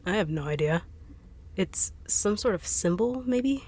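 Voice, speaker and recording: A woman's voice: mid-range voice, animated, unhurried, a little mellow, slight background noise. A woman voice actor performing a no-nonsense character in an acted audio roleplay, blunt and sarcastic.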